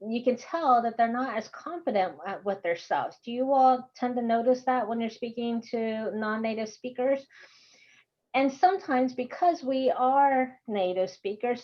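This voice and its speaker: A woman with a Texan accent leading an online workshop in a chatty, friendly way, laughing often. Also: on the high side. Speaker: course instructor. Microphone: video call. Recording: dull video call audio.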